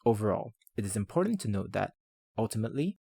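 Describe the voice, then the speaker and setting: A man who speaks Chinese and English natively, narrating a scripted educational video in a measured, articulate, formal way. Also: on the low side, very animated, gravelly, dark tone, husky, no echo. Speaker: language-learning video creator.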